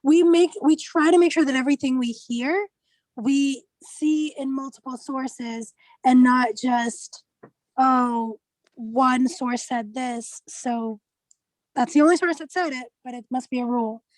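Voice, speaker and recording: High-voiced woman in casual discussion, dry sound. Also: unhurried, a little mellow, no echo, poor audio. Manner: informal, conversational.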